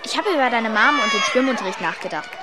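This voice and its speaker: A woman playing a cheeky, bossy young girl in a radio drama, brash, slangy and excitable. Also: a little high, fast, close to an everyday voice. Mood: scheming, excited. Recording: music and crowd noise behind.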